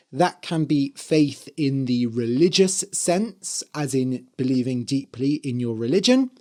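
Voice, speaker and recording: A podcast host and English teacher with a slightly hoarse, dry voice, explaining things clearly and carefully for language learners. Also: mid-range voice, warm tone. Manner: careful enunciation, spelling words out letter by letter.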